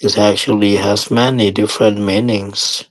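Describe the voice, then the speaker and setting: A man teaching guided meditation in short, measured phrases with long pauses between them. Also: lowish voice, little pitch movement, rough recording.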